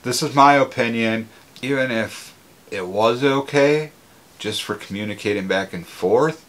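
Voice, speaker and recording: A man's voice: fairly low pitch, fairly bright tone. A man talks casually to viewers. His delivery is conversational and plain-spoken, like an everyday product reviewer. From Minnesota, United States. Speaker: motorcycle gear reviewer.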